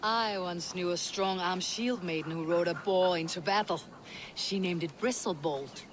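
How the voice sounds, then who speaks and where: A woman plays a hardy young Norse warrior woman in a video game. Her delivery is measured and dry, with plain, wry phrasing. Faint outdoor ambience sits behind her. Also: on the low side, noisy background, close to an everyday voice. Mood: wry, cautious. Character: hardy young adult female Viking warrior, steady, wry. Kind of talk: video game cutscene acting.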